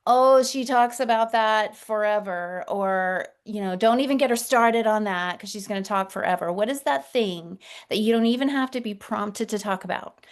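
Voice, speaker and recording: A woman who coaches communication, teaching an interactive webinar over a video call in a warm, gracious and encouraging way. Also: mid-range voice.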